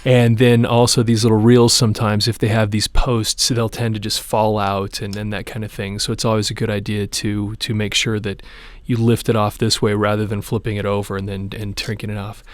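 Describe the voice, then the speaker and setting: A man's voice: fairly low pitch, flat delivery, fairly quick, hardly any pauses, no room sound. Man giving a casual how-to, dry close sound. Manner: informal, plain-spoken step-by-step explaining. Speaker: repair tutorial presenter. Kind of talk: casual hands-on how-to demonstration.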